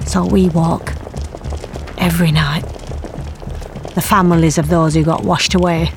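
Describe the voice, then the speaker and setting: A woman's voice: fairly low pitch, steady tone, unhurried, faint music behind. An actress playing a bereaved middle-aged mother in an audio drama, confiding her story in a plain-spoken, wry and bitter way. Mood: weary, bitter. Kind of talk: audio drama narration, intimate monologue.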